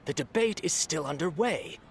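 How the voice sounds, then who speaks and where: A stern, officious moderator in a video game, high for a male voice. His formal, commanding delivery swings widely in pitch, with some noise behind it. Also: animated, moderate pace, vocal fry. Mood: scolding. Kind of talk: English video game voice acting.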